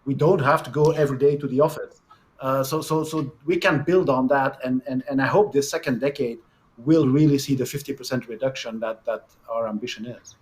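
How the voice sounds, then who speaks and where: A man's voice: medium pitch, brisk. A man speaking on an online panel as a health agency director, urging action and often stumbling into repeated words.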